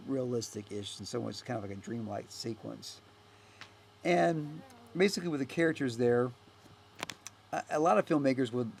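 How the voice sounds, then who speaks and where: A man with a gravelly, creaky voice talks through a film as its director. His delivery is casual and unscripted, halting and rambling, often trailing off. There is noticeable noise in the recording. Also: mid-range voice, darkish tone. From United States. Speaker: filmmaker and actor giving director's commentary.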